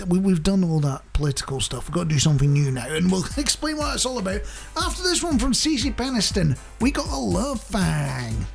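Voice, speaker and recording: A man's voice: high-pitched, sing-song, a little fast, creaky, over music. A man presenting a radio show in a chatty, rambling, jokey way, speaking directly to the listener.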